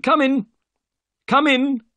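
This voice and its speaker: High-pitched, fussy little nervous gentleman. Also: measured pace, gravelly, dry recording, exaggerated voice. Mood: eager, calling out. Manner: excitable, anxious, theatrical. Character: strange little bald nervous excitable gentleman, fussy and anxious.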